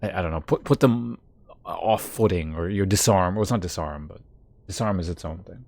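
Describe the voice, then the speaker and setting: A man streaming a game, chatting casually with viewers and thinking aloud in a halting way. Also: low-pitched, creaky, a little mellow, no echo. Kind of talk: casual live-stream chat.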